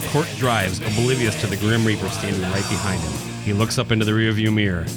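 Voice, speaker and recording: A man narrates a horror radio play from a script. His voice is on the low side, and music plays clearly behind him. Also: fairly even intonation, brisk. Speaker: narrator, podcast host.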